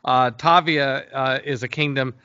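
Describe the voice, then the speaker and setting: A man's voice: mid-range voice, steady tone, unhurried, bright, forceful tone, clear, resonant tone, no room sound, lo-fi sound. A middle-aged man chatting casually as an interview guest, thinking aloud in a hesitant, rambling way. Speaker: interview guest, game company founder.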